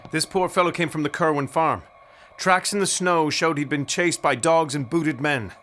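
Man acting a bright-voiced, determined colonial townsman. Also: a little high, normal speed, a little hiss or hum, close to an everyday voice. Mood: urgent. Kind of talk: period radio drama acting.

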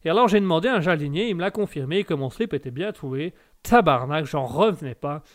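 A man's voice: on the high side, lively intonation, fairly quick, lightly acted. A man voicing a folksy Canadian gardener in a put-on Quebec French accent, drawling and peppering his talk with oaths and a trailing "là". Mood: earnest. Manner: drawling, tags sentences with "là", frequent oaths. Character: folksy Canadian man, self-taught gardener, cheerfully swearing. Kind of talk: comic improvised sketch.

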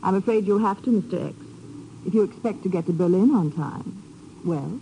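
A woman's voice: mid-range voice, normal speed, warm tone, lightly acted, rough recording. A woman playing a cool, teasing, self-assured reporter in a 1950s radio drama. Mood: sly, teasing. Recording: old radio broadcast, faint noise.

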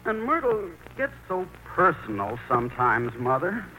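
A man's voice: a little high, wildly swooping pitch, a little slow, sharp tone, full character voice. An actor playing a timid, hesitant grown son tied to his mother, in 1940s radio acting style. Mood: anxious, whiny. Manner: hesitant, stammering, bashful. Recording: noisy old radio broadcast recording.

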